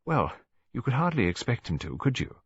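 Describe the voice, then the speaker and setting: A man voicing a kindly elderly English grandmother, a chatty, gently scheming matchmaker in an audiobook story. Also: medium pitch, big pitch swings, brisk, husky, dull audio, pitched above the performer's own voice. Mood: gently teasing. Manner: gentle, chatty, reasonable, fond.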